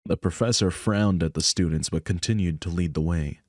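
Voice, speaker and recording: A man's voice: low-pitched, moderate pace, darkish tone, no echo, clean studio sound. A man narrating a visual novel aloud as scripted story reading. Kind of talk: scripted narration with offhand asides.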